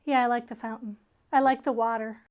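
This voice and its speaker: Higher-voiced woman co-host chatting. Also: steady tone, fairly quick, darkish tone. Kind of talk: brief casual chat replies.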